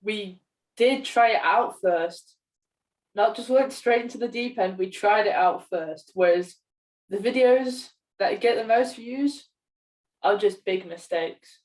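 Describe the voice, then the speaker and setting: A woman's voice: mid-range voice, takes it slowly. A young woman talking casually as a co-host on a podcast. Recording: roomy, distant from the mic.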